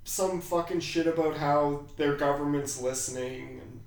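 A raspy-voiced man chatting casually as a podcast host, in an irreverent, profane way. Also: on the high side, steady tone, unhurried. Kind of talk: casual chat. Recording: very echoey room.

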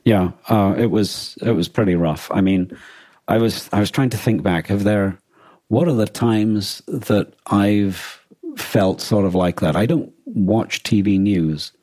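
A man co-hosting a podcast, talking casually and thoughtfully, often halting to rephrase what he says. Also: fairly low pitch, warm tone, no echo. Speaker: podcast co-host. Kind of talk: casual discussion of a radio drama and war.

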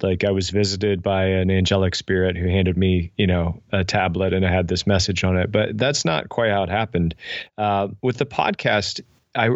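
A man's voice: sits low, no echo, dull audio. A man in his forties talking casually and reflectively as an interview guest, self-deprecating and often restarting his sentences. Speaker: podcast guest, pastor and author.

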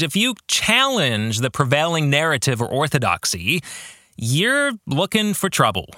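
A man in middle age hosts a reflective podcast in a bright, forward voice. He takes his time, leaning on key words and turning often to rhetorical questions. The sound is dry and close, with no room around it. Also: on the high side, dry recording. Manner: rhetorical questions and tag questions to listeners. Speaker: podcast host and author. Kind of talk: reflective book-club podcast monologue on faith.

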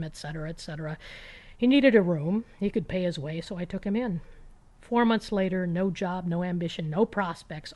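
Wry, low-pitched woman reading a story. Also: darkish tone. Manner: conversational, wry, emphatic, clipped phrases. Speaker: author reading own short story. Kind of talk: dramatic first-person short story narration.

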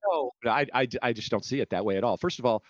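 A man's voice: medium pitch, expressive, dry recording. An American man speaking as an interview guest in a political debate, arguing his points and often restarting as he rebuts. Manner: argumentative, halting restarts when rebutting. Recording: slightly dull, lo-fi audio.

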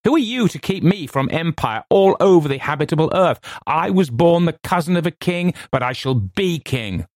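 A man's voice: low voice, a little slow, few pauses, darkish tone, no echo, clean studio sound. A man voicing a deluded, raging young man who proclaims himself king, in a grandiose, imperious audiobook reading. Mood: grandiose fury. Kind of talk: dramatic audiobook character reading.

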